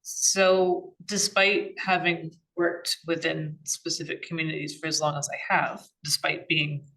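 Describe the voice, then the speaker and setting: A woman's voice: low voice, level tone, measured pace, sharp tone, recorded from a distance, poor audio. A woman in a workshop discussion, speaking thoughtfully with frequent restarts and "you know"s. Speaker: workshop participant. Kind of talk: reflective group discussion.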